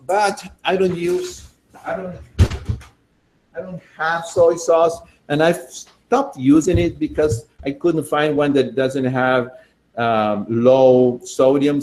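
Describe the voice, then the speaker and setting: Slow, chatty man. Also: a little high, a little mellow. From Argentina. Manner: chatty and enthusiastic, thinking aloud with fillers. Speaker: cooking demonstrator. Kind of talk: casual cooking demonstration.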